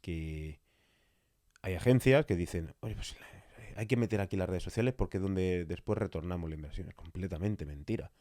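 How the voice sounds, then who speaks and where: A man's voice: deep voice, normal speed, mellow, no room sound, studio quality. A man with an accent from Spain talking casually and emphatically in a podcast conversation, repeating phrases for stress. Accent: Spanish (Spain). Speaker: podcast interviewer.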